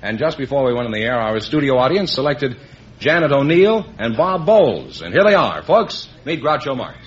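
A man's voice: mid-range voice, big pitch swings, normal speed. A man announcing contestants and the host in 1950s radio style. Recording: dull vintage radio recording.

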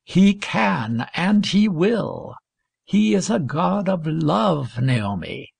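Kindly old minister, as a woman reader puts him on, low, gravelly, slow, swooping voice. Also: very animated, no echo, exaggerated voice. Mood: gentle consoling. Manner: gentle, solemn, slow. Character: gentle old male minister, kindly, comforting.

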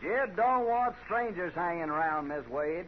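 An actor playing a hostile, curt range boss in a radio Western, with a rural American accent. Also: male voice, high-pitched, expressive, measured pace. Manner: curt, threatening. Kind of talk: 1950s radio Western acting. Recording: muffled, noisy 1950s radio recording.

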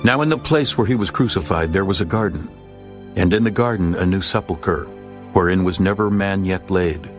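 Deep-voiced man narrating scripture. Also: normal speed. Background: soft music.

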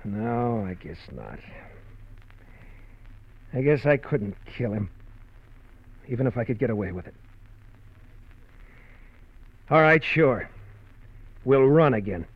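An actor playing a troubled, guarded husband running from a criminal past in an old-time radio drama. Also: male voice, mid-range voice, very animated, unhurried, long pauses, breathy edge, heavily acted. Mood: torn. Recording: muffled old radio show recording.

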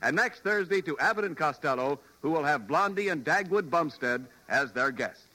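A man's voice: a little high, animated, moderate pace, bright, forceful tone, rough recording. An American man reading scripted announcements in 1940s radio style. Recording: vintage radio recording, faint audience.

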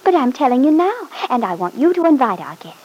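An actress playing a loving, patient young Italian wife in a classic radio drama, speaking in a tender, coaxing way. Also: female voice, sits high, sing-song, a little fast, husky, lo-fi sound, heavily acted. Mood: sweet, coaxing. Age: young adult.

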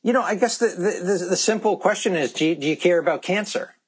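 A man speaking as a guest expert in a candid interview, halting and repeating words as he insistently makes his points. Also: high voice, breathy edge. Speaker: guest expert on organic food.

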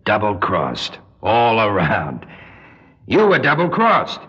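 Raspy, forceful watchman. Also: on the low side, wildly swooping pitch, slow, full character voice. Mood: shaken. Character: earnest, alert male night watchman. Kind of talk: 1960s radio drama acting. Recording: muffled old radio recording.

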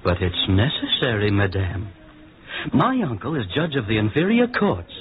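Casting: lovestruck young gentleman, earnest and polite, wildly varied intonation, vintage radio melodrama, narrow-band sound. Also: on the low side, wildly swooping pitch, moderate pace. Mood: smitten, concerned. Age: young adult. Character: eager, lovestruck young gentleman, gullible and courteous. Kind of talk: 1940s radio drama acting. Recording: muffled, narrow-band old radio recording.

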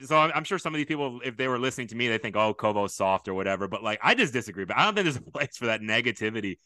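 A man hosting a podcast in a casual interview chat, with a big booming voice and a loud, enthusiastic way of talking. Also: sing-song, rapid-fire, dry recording. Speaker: podcast host.

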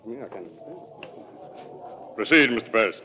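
An actor with an American accent playing a formal, stern senator chairing a hearing in a classic radio drama. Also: male voice, fairly low pitch, expressive, moderate pace, bright, forceful tone. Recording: dull old radio recording.

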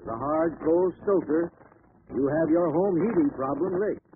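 A man's voice: a little high, a little slow. A man reading a scripted 1940s radio commercial as a spokesman, formal, authoritative and explanatory. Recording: narrow-band, faintly noisy old radio broadcast.

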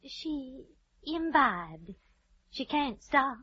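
An actress playing a fluttery, genteel, middle-aged hostess in an old-time radio drama. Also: female voice, sits high, very animated, very slow, fairly bright tone, dry recording, exaggerated voice. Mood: fluttery, concerned. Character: fluttery, genteel fifty-year-old Southern-society hostess, solicitous and sly. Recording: muffled, hazy vintage radio recording.

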